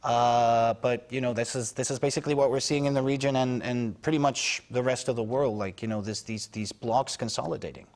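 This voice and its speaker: A man speaking as a foreign news correspondent on a news panel, analytical and halting, with many fillers and restarts. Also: mid-range voice, fairly even intonation, a little mellow. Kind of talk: unscripted news panel discussion.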